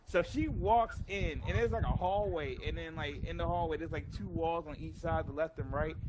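A man's voice: a little high, normal speed. A man casually telling stories over game chat, swearing and using slang as he acts out the dialogue. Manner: animated, profane, slangy, acts out quoted dialogue. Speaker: gaming channel player telling childhood stories. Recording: noisy game voice chat with clicks.

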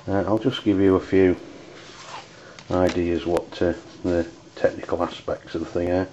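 An Englishman talks through an unscripted product review in a low, flat voice. His delivery is hesitant and halting. The recording is dull and lo-fi. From United Kingdom. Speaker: amateur radio reviewer. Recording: dull lo-fi audio with background noise.

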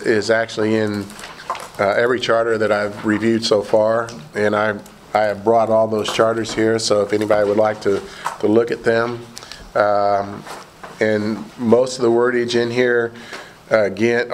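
Hoarse male meeting chair, halting and low-pitched, with faint room noise behind. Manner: halting, many fillers and restarts. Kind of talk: running a committee meeting. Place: large meeting room indoors.

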